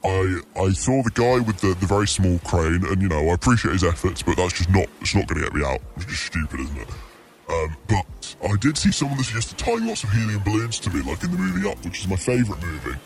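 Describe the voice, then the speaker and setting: A man's voice: low-pitched, big pitch swings, fast, a little hiss or hum. A man voicing a humiliated, sensitive stranded cargo ship, speaking hesitantly and earnestly in a mock radio interview. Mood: wistful.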